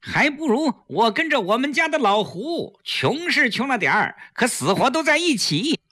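A man's voice: high voice, huge pitch swings, a little slow, bright, forceful tone, husky, dry recording, studio quality, pitched above the performer's own voice, heavily acted. A man voicing a spirited, crafty young wife in a dramatic audiobook, with a theatrical, exclamatory manner. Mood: loud mock scolding.

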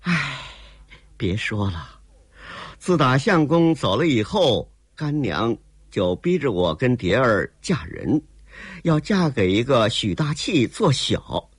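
A male storyteller voicing a soft, pleading young courtesan. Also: mid-range voice, sing-song, takes it slowly, slightly rough, no echo, clean studio sound, exaggerated voice. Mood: worried. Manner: coaxing, tearful pleading.

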